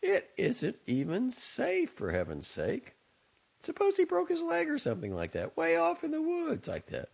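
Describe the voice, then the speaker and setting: A man's voice: very high voice, huge pitch swings, moderate pace, gravelly, darkish tone, pitched above the performer's own voice, exaggerated voice. A man reading aloud and voicing a fussy, fretful middle-aged mother who scolds and worries. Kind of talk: audiobook character voice. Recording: dull lo-fi recording.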